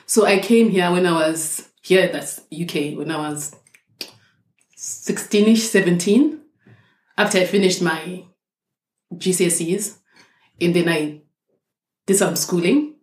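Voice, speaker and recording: A woman's voice: fairly low pitch, unhurried, long pauses. A young woman from Zimbabwe, a doctor, talking thoughtfully and at length as an interview guest. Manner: thoughtful, explains at length with restarts. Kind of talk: casual interview conversation. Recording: echoey room.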